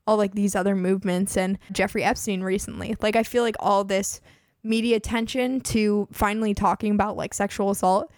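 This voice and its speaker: A woman's voice: medium pitch, fairly even intonation, brisk, hardly any pauses, darkish tone, no echo, studio quality. A woman in her twenties hosting a podcast in casual chat, chatty and full of "like", her voice a bit worn as she is losing it. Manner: chatty, peppers speech with "like". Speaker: podcast host.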